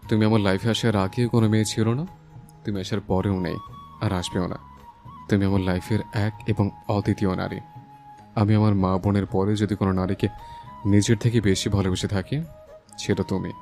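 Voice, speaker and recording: Casting: male actor as passionate, protective young husband for romantic audio drama; low voice, emphatic delivery, music underneath. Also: level tone, moderate pace, pauses often, warm tone, lightly acted. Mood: tender, consoling. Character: hot-tempered young husband, fiercely protective, tender with his wife. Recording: voice over a music bed.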